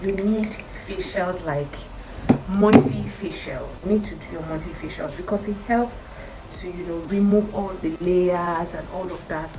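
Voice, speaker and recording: A woman with a croaky morning voice, chatting to camera in a skincare vlog and repeating her advice for emphasis. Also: on the low side, unhurried, rough recording. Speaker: skincare vlogger. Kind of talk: casual talk to camera.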